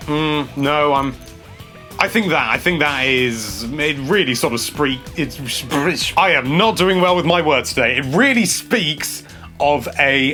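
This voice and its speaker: A man's voice: mid-range voice, bright, forceful tone. A young man chatting casually and self-mockingly while building a game character. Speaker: games presenter. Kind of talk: casual gameplay commentary.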